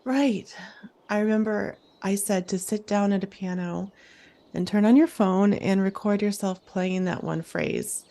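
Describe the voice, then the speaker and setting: A woman's voice: mid-range voice, unhurried, creaky, mellow. A woman playing a friendly, reassuring summer camp director who encourages her campers in an audio drama. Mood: upbeat, encouraging. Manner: encouraging, addresses listeners as campers.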